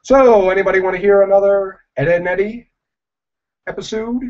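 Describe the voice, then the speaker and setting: A young man chatting casually on a livestream, answering viewers in a rambling, halting way with many fillers. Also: high-pitched, steady tone, darkish tone. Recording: dull lo-fi livestream audio.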